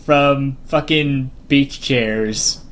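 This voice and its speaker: A man in a casual fan panel discussion, halting, repeating phrases and swearing casually. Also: mid-range voice, a bit bright. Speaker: podcast panelist.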